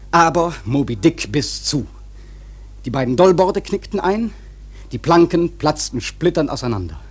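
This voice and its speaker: A man narrating a literary radio drama in a measured, expressive storytelling manner. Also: on the high side, breathy edge.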